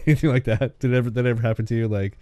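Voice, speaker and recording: A man with a mid-pitched voice and a dry, close sound. He co-hosts casually, prompting with questions and tossing in wry jokes. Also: steady tone, racing, mellow, no echo. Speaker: podcast co-host. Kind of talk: casual movie review chat, prompting with questions.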